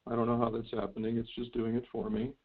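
Middle-aged male caller, creaky voice, thin telephone sound, reflective and halting with light amusement. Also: mid-range voice, monotone. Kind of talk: reflective personal sharing on a call. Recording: thin phone line.

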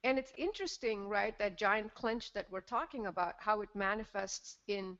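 A woman's voice: mid-range voice, lively intonation, normal speed, a bit bright. A woman hosting a live call-in, facilitating in a reflective, conversational way over a phone-quality line.

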